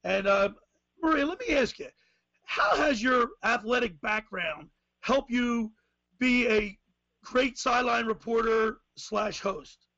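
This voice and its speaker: Raspy guy hosting sports radio, real high voice, kinda dull lo-fi sound. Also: takes it slowly, pushed and bright, no room sound. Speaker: radio show host.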